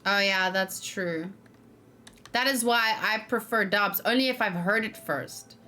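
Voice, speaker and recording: A woman doing casual let's play commentary, chatty and expressive, thinking out loud and reading game text aloud. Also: mid-range voice, unhurried.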